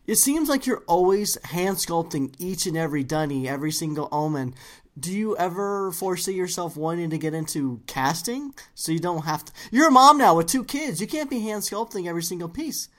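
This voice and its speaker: Low-voiced woman interviewing, all excited, lots of ums. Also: normal speed. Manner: enthusiastic, rambling with fillers. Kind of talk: casual interview chat.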